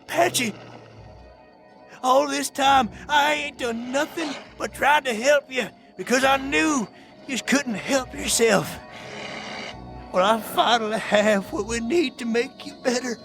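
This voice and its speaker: A man's voice: very high voice, slow pace, creaky, long pauses, a bit bright, full character voice. A man playing an old rural American prospector in an audio drama, a stern, devoted brother, urgent and pleading in a folksy way. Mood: tender pleading. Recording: music behind the voice.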